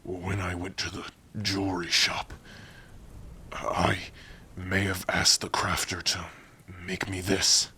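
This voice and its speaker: Gentle giant orc played by a man, low-pitched and crisp-edged, hesitant and lovestruck, for intimate ASMR roleplay. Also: completely flat delivery, exaggerated voice. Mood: nervous, tender. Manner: hesitant, halting, soft-spoken, intimate. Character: big tender, bashful male orc in love. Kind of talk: ASMR romantic fantasy roleplay.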